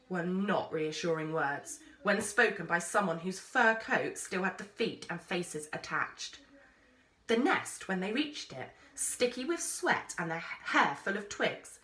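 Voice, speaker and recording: A woman reading a children's story aloud with expressive narration. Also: mid-range voice. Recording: roomy, echoey sound.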